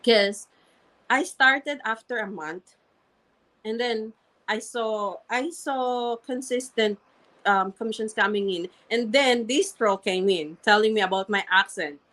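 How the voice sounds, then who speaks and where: A woman's voice: a little high, a little slow, a bit bright, rough recording. A woman guest with a strong non-native English accent, telling her story earnestly and often repeating and restarting phrases. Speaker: interview guest, registered nurse and online marketer. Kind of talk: candid personal story in conversation.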